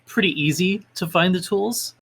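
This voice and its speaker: A man's voice: sits high, normal speed, gravelly, fairly bright tone, slightly rough. A man being interviewed as a guest, talking casually with hesitant phrasing and lots of filler words. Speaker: podcast guest, independent game developer.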